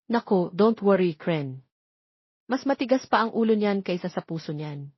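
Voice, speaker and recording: A woman's voice: medium pitch, expressive, moderate pace, breathy edge. A woman voicing a cheeky young playboy who teases his friend in playful banter, in a romance story. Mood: teasing. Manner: teasing, playful Taglish banter. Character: teasing playboy young man, rich man's cheeky friend. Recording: muffled lo-fi, roomy echo.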